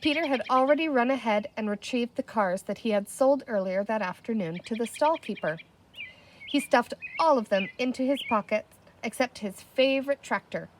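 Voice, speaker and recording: A woman's voice: on the high side, expressive, normal speed, noisy background. A woman narrating a children's storybook as a reader, in scripted narration.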